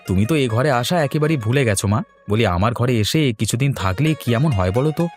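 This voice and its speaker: A man's voice: medium pitch, animated, quick pace, faint music behind, natural voice. A man voicing a dutiful, mild village farmer, a loving son and husband in a cartoon, with a coaxing, homely manner. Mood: pleading. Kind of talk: Bengali cartoon dialogue.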